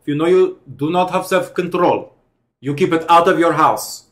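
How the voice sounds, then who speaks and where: A young man hosting a casual reaction video from home, speaking with sarcastic, deadpan mock-formal delivery into a headset mic. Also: fairly high pitch, normal speed. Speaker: host. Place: home room with bookshelves.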